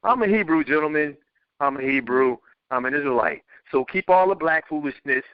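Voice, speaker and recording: A man's voice: a little high, lively intonation, brisk, dry recording. A man in a casual group discussion, emphatic and repeating his points. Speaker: podcast panelist. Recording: dull dry lo-fi audio.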